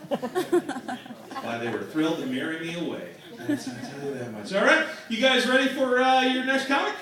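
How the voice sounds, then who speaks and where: A man's voice: extremely high pitch, sing-song, moderate pace. A man hosting a comedy club show, bantering and teasing the crowd between acts. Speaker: emcee. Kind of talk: stand-up hosting. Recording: echoey club, distant mic, loud room noise.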